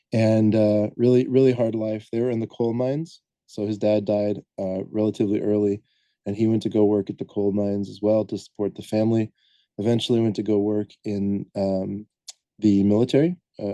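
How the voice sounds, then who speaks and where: A man co-hosting a podcast, talking casually and loosely with many pauses and filler sounds as he lays out background he has looked up. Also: on the low side, mellow, dry recording. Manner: rambling, hesitant, lots of "um" and self-corrections. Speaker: podcast co-host presenting the film research.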